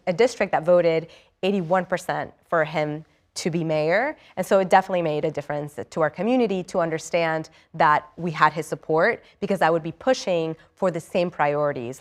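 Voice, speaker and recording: Newly elected lawmaker, a young woman from Ecuador; low-set voice, earnest and eager, lots of fillers and restarts. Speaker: state assemblymember, interview guest. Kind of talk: live TV news interview.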